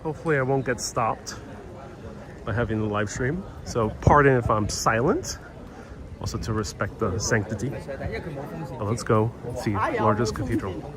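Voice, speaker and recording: A man's voice: medium pitch, a little slow, pauses often, crowd noise. A man from New York of Puerto Rican heritage, chatting loosely as a live-stream travel host outdoors, with many restarts. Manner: rambling, conversational, many restarts. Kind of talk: casual live-stream chat. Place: outdoors on a city street. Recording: street noise and traffic behind.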